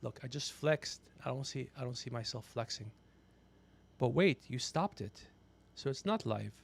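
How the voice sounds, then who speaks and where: A man hosting a podcast livestream in casual banter, teasing, swearing and excitable in manner. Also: medium pitch, moderate pace, gravelly, slightly rough. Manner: teasing, needling, profane. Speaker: podcast host.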